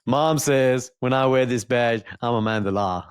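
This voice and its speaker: A man co-hosting a casual podcast, telling stories in an animated, jokey way. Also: mid-range voice, a little slow, smooth, dry recording, studio quality. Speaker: podcast co-host.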